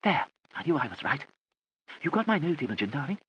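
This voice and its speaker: A man reading an audiobook, voicing a vague, hard-of-hearing elderly aristocratic aunt who talks in a soft, wandering, genteel way. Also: fairly high pitch, sing-song, brisk, lo-fi sound, a little hiss or hum, voice pushed up.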